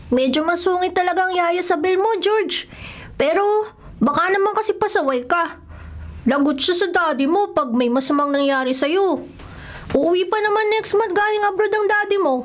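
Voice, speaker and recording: A woman's voice: extremely high pitch, normal speed. A woman playing a good-natured, innocent young boy in a radio drama. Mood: teasing, reasoning. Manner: childlike, bright-eyed innocence. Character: young boy, good-natured, easily impressed best friend. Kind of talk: scripted radio drama acting.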